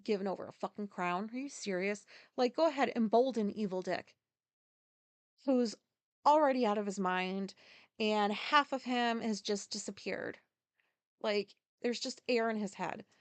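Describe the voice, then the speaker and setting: A woman's voice: medium pitch, moderate pace, no room sound. A middle-aged woman raised in Japan, hosting a solo podcast and venting in a profane, rambling way full of fillers and asides. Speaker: podcast host.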